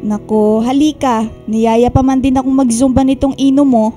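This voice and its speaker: Actress as caring older Filipino mother, high mellow voice, fretful scolding, radio drama, soft guitar music behind. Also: fairly even intonation, normal speed, natural voice. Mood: coaxing. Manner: motherly, emphatic, fussing.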